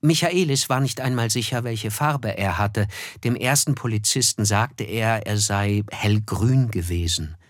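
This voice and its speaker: A man narrating a radio play in a measured, reflective way. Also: lowish voice, no echo, clean studio sound. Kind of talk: scripted literary narration.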